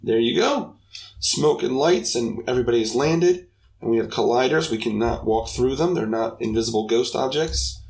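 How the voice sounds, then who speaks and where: A young man hosts a casual game development tutorial, talking through every step in an upbeat, chatty manner. His voice is gravelly and a little raspy, sitting at a middle pitch. He speaks close to a desk microphone. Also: expressive, moderate pace, a little mellow. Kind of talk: casual screen-recorded coding tutorial.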